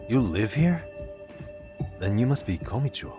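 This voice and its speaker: A man's voice: medium pitch, big pitch swings, unhurried. An actor playing a confident, casual male detective with a dry, probing manner in an English game dub. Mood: curious, calm. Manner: cool, casual, dryly confident. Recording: music behind the voice.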